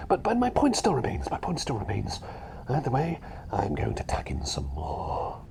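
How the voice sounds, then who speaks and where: A man voicing a fussy, chatty little bird who is really a doctor, rambling and repeating himself politely in a hushed, breathy ASMR roleplay. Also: mid-range voice, wildly swooping pitch, normal speed. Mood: chatty, earnest. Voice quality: breathy, half-whispered.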